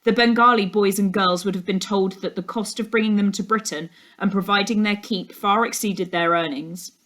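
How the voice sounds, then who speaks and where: A woman voicing an earnest detective uncle in a storybook, measured and serious. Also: medium pitch, fairly even intonation, fairly bright tone, close to an everyday voice. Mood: earnest, proud. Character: earnest, proud detective uncle, grown man. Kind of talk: storybook dialogue.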